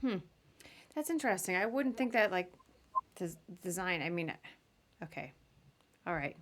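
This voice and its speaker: Lower-pitched woman hosting casually. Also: expressive, moderate pace, fairly bright tone. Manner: informal, chatty, question-led. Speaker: podcast host. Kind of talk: casual live interview.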